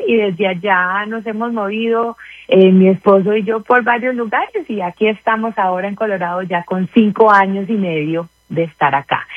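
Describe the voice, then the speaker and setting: A woman's voice: mid-range voice, breathy edge, dry recording. A woman in her forties with a Costa Rican accent, a guest on a radio interview, talking gratefully and at length with small restarts. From Costa Rica. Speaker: guest, community wellness manager. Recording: tinny phone line.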